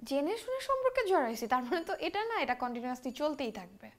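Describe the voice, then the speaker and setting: A woman's voice: sits high, very animated, very fast. An actress playing an insistent young woman in love with a married man in a naturalistic TV drama, mixing Bengali and English. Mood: hurt, demanding. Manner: switches between Bengali and English.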